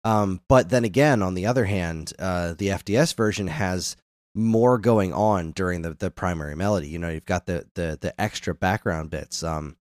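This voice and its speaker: Man, low voice, casual podcast host, enthusiastic commentary, close dry sound. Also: moderate pace, no room sound. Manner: enthusiastic, hums melodies to illustrate. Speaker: music discussion podcast host.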